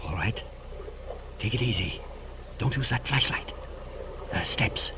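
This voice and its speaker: An actor playing a brisk, decisive naval commander who gives clipped, authoritative orders in an old-time radio drama. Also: male voice, lowish voice, expressive, whispered. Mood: hushed, tense. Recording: noisy 1940s radio recording.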